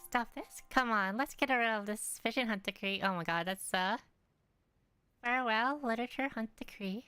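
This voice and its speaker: A woman streaming a game in a bright, very clear voice of medium pitch. She tosses out casual, playful asides, recorded close and dry. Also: normal speed, no room sound. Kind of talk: casual reaction commentary while playing.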